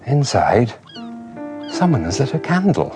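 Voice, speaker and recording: An actor playing a somber, reflective man in a radio drama, speaking in a measured, brooding way. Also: medium pitch, wildly swooping pitch, over music. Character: somber reflective male friend narrating a murder scene. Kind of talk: radio drama acting, intimate narration.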